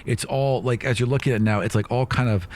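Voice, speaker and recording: A man's voice: medium pitch, steady tone, fast talker, a little hiss or hum. A man narrating a tabletop fantasy game as game master, casually describing scenes in loose, conversational phrases.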